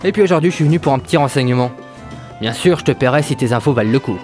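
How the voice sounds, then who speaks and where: A man's voice: medium pitch, expressive, rapid-fire, a little mellow, faint music behind, lightly acted. A man playing a weary, wry and stubborn 1930s private detective in a French audio drama, narrating and talking in noir style. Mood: wry, coaxing.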